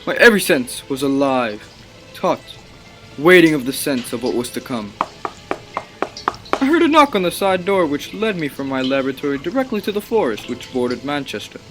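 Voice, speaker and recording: Male actor as haunted young male scientist, passionate, theatrical radio play delivery, highly animated pitch, formal phrasing. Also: moderate pace, over music, natural voice. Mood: grave, haunted. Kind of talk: dramatic radio play acting and narration.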